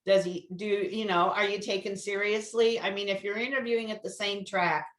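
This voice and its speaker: A woman's voice: medium pitch, steady tone, normal speed, fairly bright tone. Woman podcast host, echoey sound. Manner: probing, stacks several questions. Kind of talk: interviewer questions.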